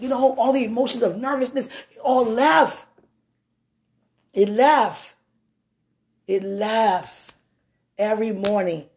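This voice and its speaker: A woman with a deep voice giving an animated testimony and preaching, swinging from loud bursts to hushed asides.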